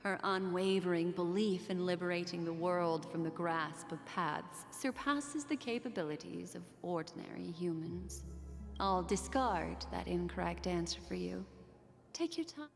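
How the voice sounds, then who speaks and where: Enigmatic game narrator lady, measured n theatrical, kinda teasing, reverby w music behind. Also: on the low side, vocal fry. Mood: poised, teasing. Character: enigmatic, poised woman storyteller posing riddles. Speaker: story narrator in a video game. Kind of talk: scripted dramatic narration.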